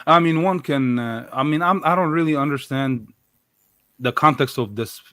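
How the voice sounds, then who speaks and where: A man's voice: mid-range voice, moderate pace, pushed and bright, no echo, clean studio sound. A man in a casual, argumentative discussion as a guest commentator, often repeating words and rephrasing as he builds his point. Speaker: guest commentator on a religious live show.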